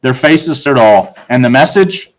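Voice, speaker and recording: Man reading a children's story, medium pitch. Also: lively intonation, unhurried, poor audio. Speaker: teacher reading aloud. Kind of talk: children's book narration.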